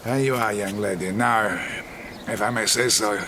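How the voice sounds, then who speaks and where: An actor playing a sly, ingratiating travelling charm peddler with florid, deferential patter in an audio drama. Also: male voice, fairly low pitch, fairly even intonation, normal speed, background noise, close to an everyday voice. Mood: sly, obsequious. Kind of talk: theatrical audio drama acting.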